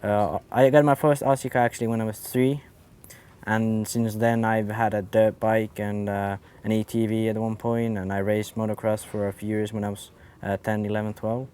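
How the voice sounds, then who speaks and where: A young man of eighteen from Finland, a racer talking casually and quickly with lots of fillers. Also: fairly low pitch, flat delivery, a little mellow, clear, resonant tone. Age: young adult. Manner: quick, with many fillers and restarts. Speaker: RC car racer. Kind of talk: casual interview.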